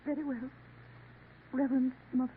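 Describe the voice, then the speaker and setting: An actress playing a sorrowful young woman in a 1950s radio drama, gentle and hesitant. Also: high voice, level tone, moderate pace, lightly acted. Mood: tearful. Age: young adult. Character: sorrowful young woman working a Paris café. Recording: tinny old radio broadcast, faint hiss.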